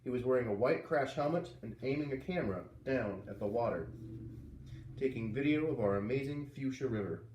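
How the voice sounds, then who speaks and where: A man's voice: brisk, a little hiss or hum. A man reading a children's chapter book aloud at home, playfully voicing the characters. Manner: lively, voices characters with big pitch jumps. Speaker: reader reading aloud to kids. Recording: roomy, distant from the mic.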